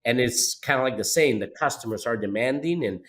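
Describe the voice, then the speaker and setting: A man's voice: mid-range voice, fairly quick. Man hosting with restarts. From Costa Rica. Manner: repeats words, hesitant restarts. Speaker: podcast host. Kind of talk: interview hosting.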